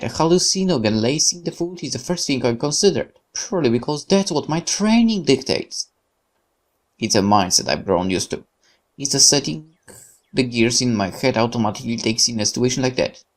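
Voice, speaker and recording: A game streamer reading visual novel narration aloud expressively, occasionally stumbling over words. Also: medium pitch. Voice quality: creaky.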